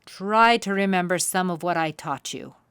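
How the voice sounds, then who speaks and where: A woman's voice: lowish voice, animated, normal speed, a bit bright, clean tone, no echo. A woman voicing a wise old father owl in a storybook, stern and instructive, with emphatic delivery. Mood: hurried concern.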